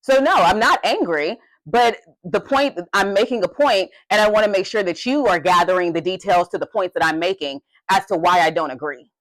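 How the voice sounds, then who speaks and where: A young adult woman co-hosting a podcast, talking in an emphatic, passionate way with a loud voice that carries. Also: mid-range voice, expressive, brisk, hardly any pauses, no room sound, rough recording. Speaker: podcast co-host. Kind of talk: casual podcast discussion.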